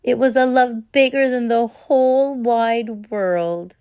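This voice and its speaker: A woman narrating a children's story in a gentle, expressive read-aloud manner. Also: fairly high pitch, slow, smooth, no echo, studio quality.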